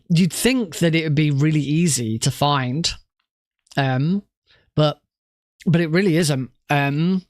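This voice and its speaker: Man, congested and coughy, rambling chat, slightly high voice, dry close sound. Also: no room sound. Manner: rambling, many restarts and ums. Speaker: streamer and marathon organiser. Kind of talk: casual chat to stream viewers.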